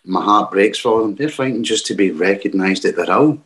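A veteran speaks on a panel in a lowish voice. He is earnest and halting, restarting his sentences as he finds his words. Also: lively intonation, fairly bright tone.

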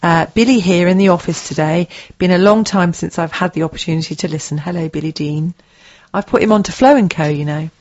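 A woman's voice: on the low side, a little fast, a little mellow, lo-fi sound. A woman co-hosting a radio show, chatting casually and reading out listener tweets with a bouncy, laughing manner. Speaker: radio co-host.

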